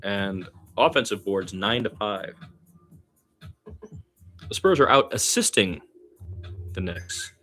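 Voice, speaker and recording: A man's voice: on the low side, animated, slow pace, long pauses, sharp tone, soft background music. A man giving loose, conversational play-by-play basketball commentary in an informal, slangy way, as if thinking aloud. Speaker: amateur radio-style play-by-play commentator.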